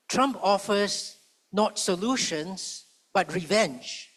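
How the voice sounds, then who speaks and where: A man with a slightly higher voice speaks on a conference panel. He is measured and rhetorical, drawing out his key phrases and leaning into the contrasts he builds. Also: a bit bright. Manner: measured, rhetorical, slows for emphasis. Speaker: panellist, public policy professor. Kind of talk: panel talk. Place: conference hall.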